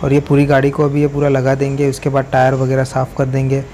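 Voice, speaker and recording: Young male vlogger, flat and even delivery, chatty step-by-step explainer, outdoor phone recording with noise behind. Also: medium pitch, fast. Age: young adult. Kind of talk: casual vlog narration. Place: outdoor car wash yard.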